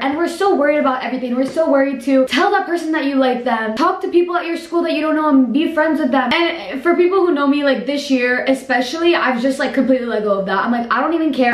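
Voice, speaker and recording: A teenage girl talks straight to her camera from a small bedroom, earnest and animated. Her voice sits high. The camera mic picks her up from a few feet away, and the bedroom adds a noticeable echo. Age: teens. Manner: animated, gestures a lot, fast and earnest. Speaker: vlogger. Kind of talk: casual talk to camera. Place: bedroom, indoors. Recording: echoey bedroom.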